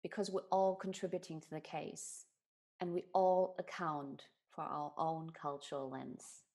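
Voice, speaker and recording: A woman's voice: low-pitched, fairly even intonation, measured pace, darkish tone. A woman from Germany giving an earnest, explanatory talk on bias and inclusion. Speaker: speaker on diversity and inclusion.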